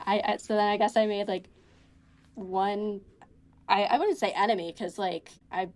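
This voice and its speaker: A woman's voice: mid-range voice, fairly even intonation, unhurried, a little mellow. Chatty young woman, lots of likes and ums. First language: English. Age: young adult. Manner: rambling, many fillers and restarts. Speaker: college student guest. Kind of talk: casual conversation.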